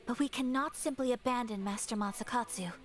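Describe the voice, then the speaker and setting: A woman voicing a composed, courteous young noblewoman in a video game, speaking in a formal, measured way. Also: a little high, over music, natural voice. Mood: calm.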